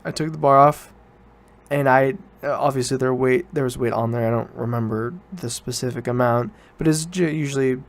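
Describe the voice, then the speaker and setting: A man's voice: medium pitch, normal speed. A young man, student age, talking casually and informally as a solo podcast host, rambling and correcting himself as he goes. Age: teens to young adult student.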